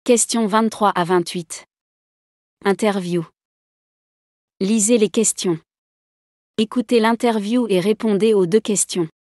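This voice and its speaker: A woman's voice: medium pitch, slow pace, pauses often, a little mellow, no echo, clean studio sound. A woman reading scripted test instructions as an exam announcer.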